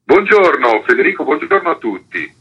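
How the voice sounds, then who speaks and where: A man's voice: on the high side, big pitch swings, normal speed, breathy edge, highs cut off. A man speaking as an author and interview guest, giving explanatory answers over a radio phone link.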